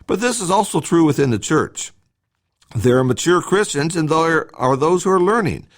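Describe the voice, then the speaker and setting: A man reads a scripted devotional talk as a church podcast host, in a measured, instructive way with short emphatic phrases. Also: fairly high pitch, animated.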